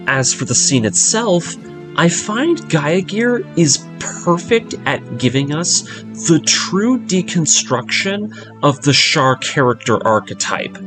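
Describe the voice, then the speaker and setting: A man hosting a story breakdown series, mixing scripted narration with casual, enthusiastic fan commentary. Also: medium pitch. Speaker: host of a video series breaking down an anime audio drama. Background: music behind the voice.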